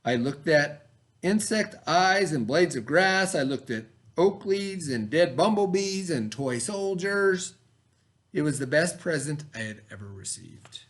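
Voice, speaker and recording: A man with a fairly high voice reads aloud in a lesson for children. His intonation is very lively, rising and dropping widely. He stresses key terms, repeating them and adding simple explanations as a teacher would. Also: measured pace. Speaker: teacher reading aloud to students. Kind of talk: expressive educational read-aloud with explanatory asides.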